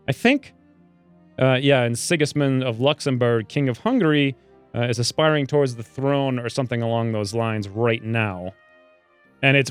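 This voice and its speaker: A young man casually commenting while he plays a game, excitable and rambling, with self-mocking asides, speaking close to a desk mic. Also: a little high. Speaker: gaming video host. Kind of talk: casual let's play commentary.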